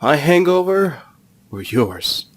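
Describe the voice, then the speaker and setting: Cynical young goat prince acted by a man, unhurried and world-weary, self-doubting, fan dub drama. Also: fairly high pitch, very animated, exaggerated voice. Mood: sardonic. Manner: weary, sarcastic. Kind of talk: fan comic dub acting.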